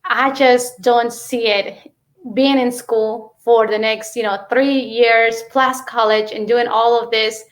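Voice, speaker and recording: A woman with a Mexican accent and Spanish as her first language tells her story casually and haltingly, often saying "you know.". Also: a little high, steady tone, rough recording. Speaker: interview guest, businesswoman.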